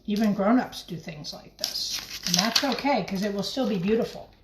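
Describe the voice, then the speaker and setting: A woman teacher gently and encouragingly talking young children through a craft in simple words as she demonstrates it. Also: mid-range voice, moderate pace. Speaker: primary school teacher leading a craft. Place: home kitchen, by the refrigerator. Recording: echoey room with faint background noise.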